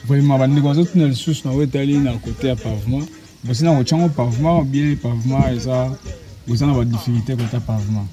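A man speaks as a field reporter, recorded outdoors on open land. He talks in an animated way at an ordinary pitch, with music running clearly behind him. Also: fast. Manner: animated, switching between Lingala and French.

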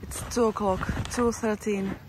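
A woman talking to her camera as she strolls outside, chatty and rambling, stopping to restart her sentences. Her voice sits at an ordinary middle pitch. Faint street noise and the odd passing car sit behind her. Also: steady tone, unhurried. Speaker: travel vlogger. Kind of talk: casual walking-tour vlog commentary. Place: outdoors on town streets. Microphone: handheld camera while walking.

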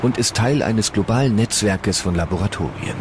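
A man narrating a science documentary in a measured, solemn way over music. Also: fairly low pitch, noisy background. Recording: voice-over with loud music behind.

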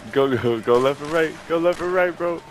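A man's voice: fairly high pitch, moderate pace, a little hiss or hum. A man playing a co-op video game, giving casual, slangy, joking reactions as he plays. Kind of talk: casual gameplay chat and reactions.

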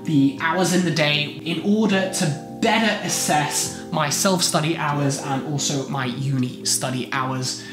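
A man's voice: on the high side, a little slow. A young man in his twenties, congested from a chest infection, talking casually to camera in a home study room about his weekly routine, gesturing a lot. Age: 20s. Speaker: medical student vlogger. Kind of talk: casual talking-head vlog. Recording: roomy, with background music.

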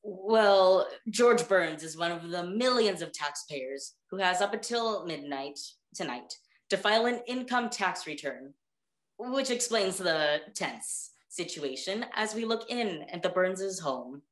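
Young vintage radio announcer, played by a woman, chipper and showy, on the low side, roomy recording. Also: lively intonation, measured pace. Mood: chatty, amused. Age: young adult. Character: chipper 1940s radio co-host announcing the show. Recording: echoey room sound.

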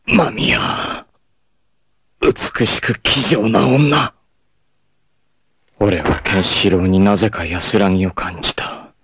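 A proud, self-sacrificing martial-arts warrior in an anime. His voice sits low and moves very slowly, with dramatic swings in pitch. The delivery is solemn and theatrical, yet tender. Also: lively intonation, vocal fry, fairly bright tone, husky, no room sound, full character voice. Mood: solemn, resolute. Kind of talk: dramatic anime acting, monologue and narration.

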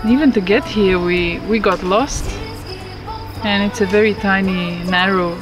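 Slow, musing woman vlogging outdoors. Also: medium pitch, poor audio. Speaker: travel vlogger. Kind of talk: casual travel vlog commentary. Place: open countryside, outdoors. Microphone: camera mic at close range. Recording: background music under the voice.

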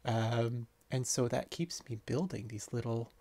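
A man in his thirties casually narrating a tour of his city-builder game, relaxed and rambling with plenty of ums and uhs. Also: mid-range voice, measured pace, no room sound. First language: not French. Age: 30s.

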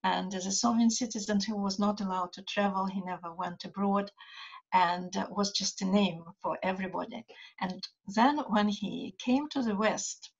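An older woman with a Russian accent speaks in a husky voice, pausing and hesitating as she reaches for English words. The audio is dull and lo-fi, as heard over a video call from her home study. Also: medium pitch, fairly even intonation. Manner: halting, many hesitations, searching for English words. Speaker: writer and translator.

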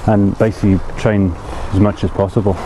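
A man's voice: lowish voice, flat delivery, fairly quick. A young man, a search dog handler, speaking hesitantly with fillers outdoors in a field. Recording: outdoor noise.

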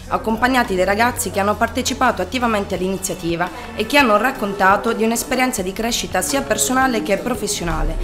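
Female web TV reporter over prominent music. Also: medium pitch. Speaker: reporter and presenter. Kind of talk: scripted news narration and interview questions.

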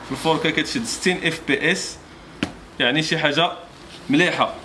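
A Moroccan man in his thirties gives an informal, repetitive product pitch. He talks quickly in a slightly high voice, with clear background noise in an indoor shop. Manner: repetitive, salesman-like patter. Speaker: shop seller presenting products. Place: small motorbike accessory shop, indoors. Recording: clearly noisy shop ambience.

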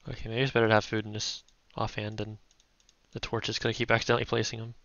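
A young man giving relaxed, chatty gameplay commentary, thinking aloud as he plays. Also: on the low side, fast, gravelly, dry recording, muffled recording. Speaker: gaming YouTuber.

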